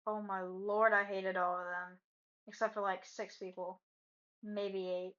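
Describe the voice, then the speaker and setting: A woman's voice: mid-range voice, little pitch movement, measured pace, fairly bright tone, highs cut off. A young woman with a blunt, joking, sarcastic manner, giving casual commentary over her own game video. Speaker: gaming video creator.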